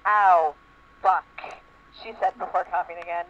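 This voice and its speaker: A woman's voice: fairly low pitch, fairly even intonation, unhurried, fairly bright tone. A woman narrating fan fiction as a comedic dramatic reading, voicing bits of dialogue along the way. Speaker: reader. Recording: lo-fi radio-like sound, faint noise.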